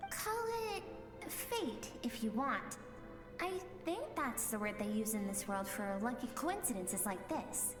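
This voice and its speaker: A woman voicing a playful, knowing spirit of an inner world, speaking gently and teasingly. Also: on the high side, pitched below the performer's own voice. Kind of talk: fan voice-over of a game scene. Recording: echoey reverb with music.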